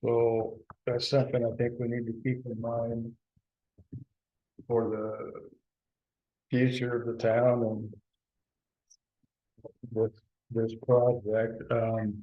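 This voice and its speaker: A man leading a town board meeting, talking haltingly with many restarts. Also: medium pitch, completely flat delivery. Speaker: presiding board trustee. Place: town hall meeting room. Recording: dull lo-fi meeting recording.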